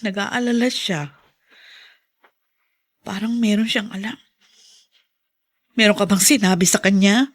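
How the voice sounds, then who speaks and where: A woman's voice: mid-range voice, steady tone, measured pace, long pauses, lightly acted. An actress playing an emotional, anxious middle-aged mother who is losing her sight, in a Filipino radio drama. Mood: pained, worried. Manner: emotional, pleading.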